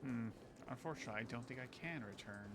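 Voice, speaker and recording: A man's voice: medium pitch, quick pace, very noisy. A man streaming a game, giving casual live commentary in short muttered reactions with some swearing. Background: game music.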